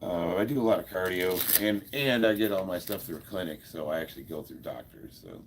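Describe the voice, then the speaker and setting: A man in his fifties with a deep, gravelly voice, stuffed up, chatting casually on a livestream and answering viewer comments with frequent chuckles. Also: expressive, a little fast, noisy background. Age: 50s. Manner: laid-back, chuckles often, many fillers. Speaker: livestream host.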